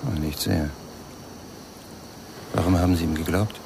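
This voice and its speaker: An actor playing a cynical yet gentle man with a gravelly voice and a blunt, probing manner in a German radio crime drama. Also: low voice, steady tone, unhurried, noisy background. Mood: dry, curious.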